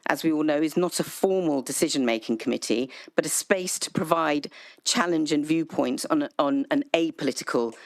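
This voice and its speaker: A woman councillor answering public questions at a council meeting, speaking in a measured way with frequent ums and restarts. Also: low voice, no echo. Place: council chamber, hybrid meeting. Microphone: desk microphone.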